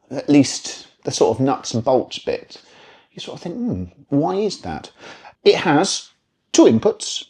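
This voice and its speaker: A man's voice: medium pitch, dark tone. A man presenting an informal product review, speaking in a wry, dryly humorous and opinionated way.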